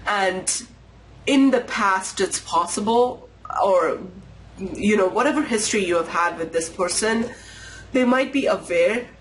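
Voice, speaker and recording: A tarot reader giving a pick-a-card reading, voice nasal and a bit raspy from a cold, musing slowly with pauses. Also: medium pitch, a bit bright, slight background noise, rough recording. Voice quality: slightly raspy. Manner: unhurried, intuitive musing with pauses.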